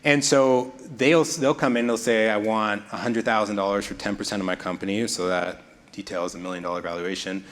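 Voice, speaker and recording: A man's voice: lowish voice, a bit bright. A man giving a presentation, explaining investing in an easygoing way with some restarts. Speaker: investment speaker. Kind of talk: presentation talk.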